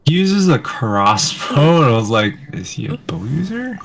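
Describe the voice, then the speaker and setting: A man's voice: medium pitch, sing-song, normal speed, crowd noise. A man chatting casually as he comments on a game with a friend. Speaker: gamer watching and commenting with a friend. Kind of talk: casual chat. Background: game music.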